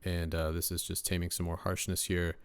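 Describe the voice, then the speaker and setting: A deep-voiced young man, a rapper casually walking through his mixing setup in a relaxed way, with lots of ums and uhs. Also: fairly quick, clear, resonant tone, no echo. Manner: laid-back, lots of uh and um fillers. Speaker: rapper and home mixing engineer. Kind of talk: casual screen-share mixing tutorial.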